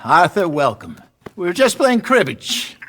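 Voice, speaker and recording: An actor playing a sly, courtly smooth talker with wry irony in a game cutscene. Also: male voice, high voice, very animated, unhurried, a bit bright, exaggerated voice. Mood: amused, teasing. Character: genteel, wry, sly smooth-talking older American swindler. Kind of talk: dramatic game cutscene acting.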